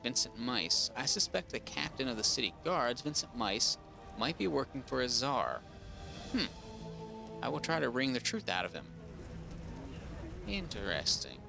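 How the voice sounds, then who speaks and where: A man with a raspy voice casually commenting on a video game playthrough, rambling with lots of ums and restarts. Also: mid-range voice. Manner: rambling, full of ums and restarts, reads quest log aloud. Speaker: gaming streamer. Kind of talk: casual let's-play commentary.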